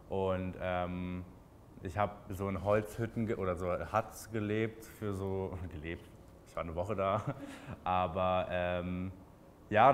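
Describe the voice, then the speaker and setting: A man in his twenties with a Berlin accent, answering interview questions in a relaxed, chatty way with many fillers. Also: low voice, a little hiss or hum. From Berlin, Germany.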